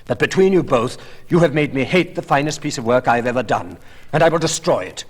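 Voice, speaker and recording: Earnest gentleman artist, voiced by a male narrator, husky, brusque and easily wounded, literary storybook. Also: mid-range voice, animated, fast, big-room sound, voice pushed up. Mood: angry, hurt. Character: earnest, touchy, bitter gentleman painter.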